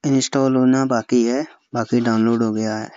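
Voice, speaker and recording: A young Indian man giving a step-by-step phone app tutorial as a voice-over, halting when he reads English aloud. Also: mid-range voice, level tone, darkish tone, clear, resonant tone, no room sound. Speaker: tutorial host. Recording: slightly dull, lo-fi, dry close recording.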